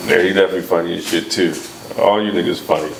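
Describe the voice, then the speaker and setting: A man's voice: sits low, steady tone, very fast, creaky, a little hiss or hum. A man, casually interviewing in loose, profane street slang as a podcast host. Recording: echoey room.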